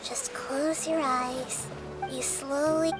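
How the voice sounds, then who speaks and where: Gentle, high-voiced wise young girl. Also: over music. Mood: calm, reassuring. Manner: calm, measured, reassuring. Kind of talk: video game voice acting.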